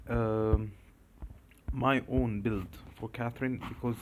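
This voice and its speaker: Slow, hesitant Egyptian man talking games. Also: mid-range voice. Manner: hesitant, many fillers and restarts, loud bursts when stressing points. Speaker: gamer making a fan video. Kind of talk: casual game commentary.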